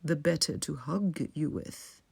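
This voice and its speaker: Storybook villain: crafty male wolf, performed by a woman, coaxing and sly, low-set, unhurried delivery. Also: gravelly, a little mellow, breathy edge, exaggerated voice. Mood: menacing, feigned sweetness.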